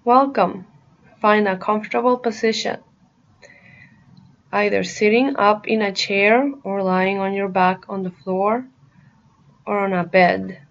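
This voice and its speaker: A woman narrating a guided meditation in a soothing, deliberate manner, pausing between short phrases. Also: medium pitch, steady tone, dull audio.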